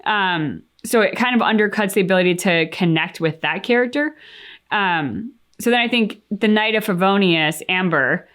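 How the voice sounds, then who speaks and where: A woman's voice: mid-range voice, animated, normal speed, fairly bright tone. A woman co-hosting a game reaction channel, talking casually and reflectively, with plenty of likes and you-knows as she thinks aloud. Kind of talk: casual discussion, giving opinions.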